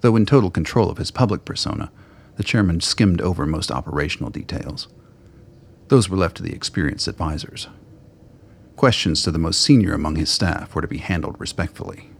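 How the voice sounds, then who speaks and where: A man narrating a radio drama as a grave, dry storyteller, with a gravelly, husky voice. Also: sits low, a little fast, exaggerated voice. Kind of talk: scripted narration.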